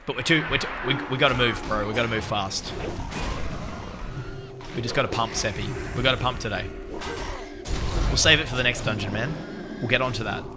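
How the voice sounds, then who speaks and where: A man streams a game, worn a little hoarse after losing his voice. He chats excitably with viewers at a middle pitch, swearing freely between plays, while music plays clearly behind him. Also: a little fast, pauses often. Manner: excitable, swears, shouts out chat members. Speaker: live-streamer playing a game as tank. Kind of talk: casual gaming stream chat.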